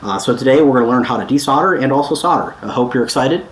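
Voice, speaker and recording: A man's voice: medium pitch, expressive, quick pace. Echoey, informal man giving a hands-on tutorial. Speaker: host of a DIY electronics repair tutorial. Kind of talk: casual hands-on tutorial talk. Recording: echoey room, some background noise.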